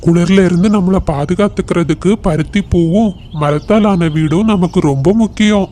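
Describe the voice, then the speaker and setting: High male voice, soft dark tone, man as friendly elder cartoon sparrow, advising, faint noise. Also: moderate pace, natural voice. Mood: cautioning.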